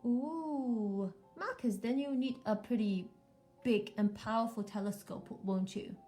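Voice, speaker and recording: A woman's voice: fairly high pitch, measured pace, mellow, faint music behind. A woman chatting casually with children as a live storytime host, encouraging and full of questions.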